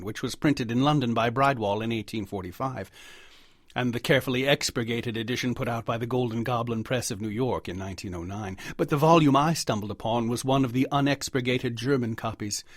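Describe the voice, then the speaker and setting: Man gravely narrating horror, very dry sound. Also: medium pitch, no echo. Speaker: audiobook narrator. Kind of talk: dramatic scripted horror story narration.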